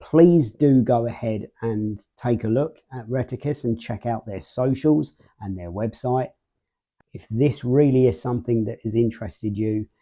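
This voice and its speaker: A man's voice: mid-range voice, dark tone, lo-fi sound. A man hosting a casual product review, explaining things step by step. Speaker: host of a radio gear review channel.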